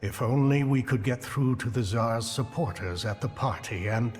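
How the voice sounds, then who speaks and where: An actor plays a sober male strategist in a video game. His mid-range voice is measured and focused. A long reverb trails each phrase. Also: medium pitch, faint music behind, close to an everyday voice.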